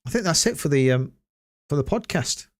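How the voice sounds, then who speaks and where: A man hosting a casual solo gaming podcast, hoarse after hours of talking and rambling with many hesitant restarts. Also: a little high, animated, fairly quick. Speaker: podcast host.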